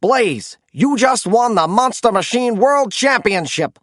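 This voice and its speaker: A man playing an excited, projecting race announcer in a children's storybook. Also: very high voice, a little slow, dry recording, clean studio sound, voice pushed up, full character voice. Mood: thrilled.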